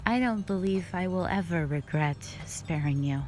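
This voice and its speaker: A woman playing a stern, calm, duty-bound dragon guardian, speaking formally and solemnly in a scripted roleplay audio drama. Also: lowish voice, soft background music, close to an everyday voice. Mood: intrigued, softened. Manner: measured, solemn, formal phrasing.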